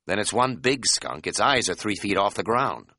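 A man's voice: on the low side, lively intonation, moderate pace, bright, forceful tone, dry recording, studio quality, exaggerated voice. Man voicing a frantic, fussy male camper. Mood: nervous insistence. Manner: fussy, sarcastic, indignant. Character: frantic, whispering, easily alarmed male camper. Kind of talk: audiobook character dialogue.

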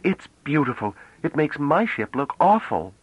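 A man reading a novel aloud, voicing a chatty, naive young woman who talks rapidly and almost breathlessly. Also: on the high side, very animated, slightly rough, no echo, voice pushed up, exaggerated voice. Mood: eager. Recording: dull lo-fi dry audio.

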